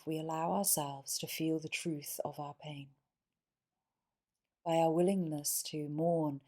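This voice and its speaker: A woman leading a guided yoga nidra meditation in a soothing, measured way, pausing between short phrases. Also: sits low, darkish tone.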